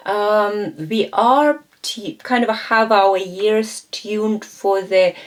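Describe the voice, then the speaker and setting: A woman's voice: mid-range voice, extremely slow. A woman giving a coaching lesson, explaining concepts and acting out short coach and client exchanges, with fillers and self-corrections. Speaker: coaching instructor. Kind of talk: lecture with role-play examples.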